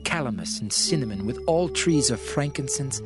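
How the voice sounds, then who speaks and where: A man's voice: mid-range voice, animated, moderate pace, close to an everyday voice. A man playing an ardent, adoring bridegroom in a dramatized scripture reading, solemn, tender and declamatory. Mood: enraptured. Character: adoring male lover and bridegroom, poetic and ardent. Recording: music under the voice.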